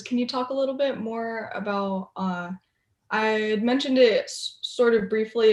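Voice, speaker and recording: A woman's voice: medium pitch, a little mellow, echoey room. A woman hosting a livestream interview over a video call, asking questions in a hesitant, casual way. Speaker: host.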